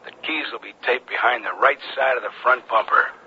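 An actor playing a cool, commanding conspiracy boss giving terse orders in a 1970s radio drama. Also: male voice, mid-range voice, brisk, natural voice. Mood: brisk. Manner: terse, matter-of-fact orders. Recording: dull, faintly noisy two-way radio.

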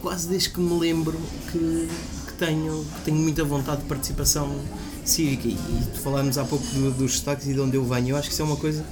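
A man's voice: on the high side, little pitch movement, quick pace, few pauses, over music. Young man with a northeastern Portuguese accent. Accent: northeastern Portuguese (Bragança region). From Miranda do Douro, northeast Portugal. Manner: earnest, explains at length with many restarts. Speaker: guest. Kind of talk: casual chat. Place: student radio studio, in a café with clinking dishes.

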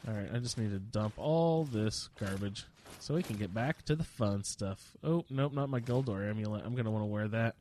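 A man's voice: lowish voice, normal speed, hardly any pauses, a little mellow, a little hiss or hum. A man giving casual, rambling gameplay commentary, self-deprecating and jokey, sniffling a little with a slight cold. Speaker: gamer narrating own playthrough.

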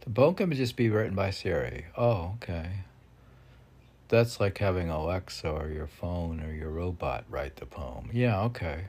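A man with a gravelly, husky voice reading his own poems, with halting, self-deprecating commentary in which he talks back to himself. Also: low voice, animated, a little mellow. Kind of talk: poem reading mixed with rambling self-commentary.

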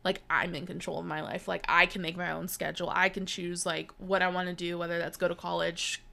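A woman's voice: lowish voice, level tone, fairly quick, gravelly, bright, forceful tone. A woman in her twenties, chatting casually as a podcast co-host, full of "like" and "bro" and cheerful swearing. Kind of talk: casual chat with a friend.

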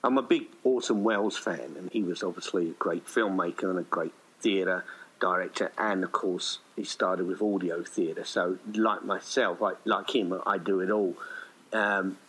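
A man's voice: low-pitched, steady tone, normal speed, a bit bright, slightly rough, low-quality recording. A man talking casually as an interview guest, in a rambling, hesitant, self-effacing way. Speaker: writer and director, podcast guest.

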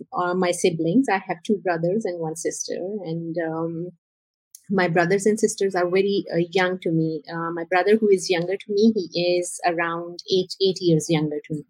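A woman's voice: fairly low pitch, fairly even intonation, unhurried, breathy edge, dry recording, rough recording. A woman from Pakistan with a Pakistani English accent, a podcast guest telling her life story with many hesitations. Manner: hesitant, many ums and uhs. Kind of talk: casual interview storytelling.

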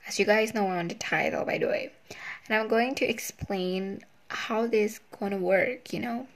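Chatty Indonesian woman with a creaky voice. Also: mid-range voice, moderate pace. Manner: chatty, self-conscious, switches between English and Indonesian. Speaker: podcast host. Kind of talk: casual solo advice talk.

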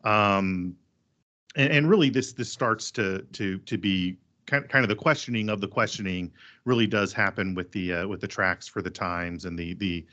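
A man's voice: low-pitched, bright, forceful tone, muffled recording. A man who is a priest, speaking in a thoughtful podcast discussion and explaining things at length.

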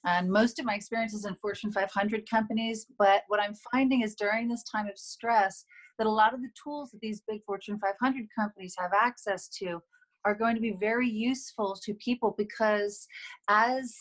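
A woman's voice: mid-range voice, normal speed, low-quality recording. A woman psychologist guest, explaining her work in a careful, explanatory manner. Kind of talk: explanatory conversation.

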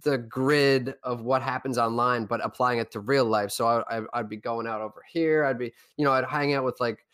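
A young man from Newfoundland, Canada, with a Newfoundland accent, co-hosting a casual podcast chat and telling rambling, animated stories. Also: mid-range voice, moderate pace, few pauses. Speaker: podcast co-host.

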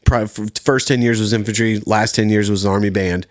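A man's voice: lowish voice, steady tone, fairly quick, dry recording, clean studio sound. A man in his thirties from Virginia, a podcast guest talking casually, laid-back, laughing often and swearing freely. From Virginia, USA. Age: 30s. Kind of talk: casual chat.

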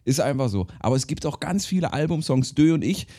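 Guy in his 30s, podcast banter, warm dark voice, joking around. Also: a little high, a little fast. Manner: animated storyteller, jokey. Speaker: podcast co-host. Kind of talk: casual banter about music.

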